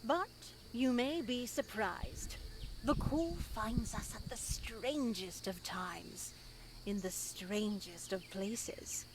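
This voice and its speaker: Slow, solemn pious nun. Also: female voice, a little high, noisy background. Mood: instructive, reverent. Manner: measured, formal, solemn, with lingering pauses. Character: stern pious nun lecturing young women at a convent. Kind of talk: scripted visual novel voice acting.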